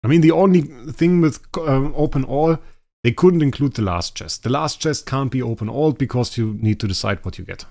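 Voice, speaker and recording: Male game streamer, native German speaker, casual, thinks aloud, trails off softly, mid-pitched. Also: normal speed, gravelly. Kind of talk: casual livestream commentary.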